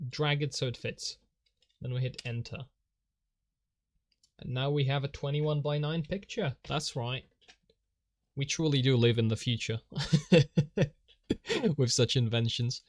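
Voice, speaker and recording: A Polish-speaking man talks slowly through his drawing on a live stream. He rambles, mocks himself and chuckles at his own slips. His voice sits at a middle pitch and is caught on a desk microphone. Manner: rambling, self-mocking, chuckles often.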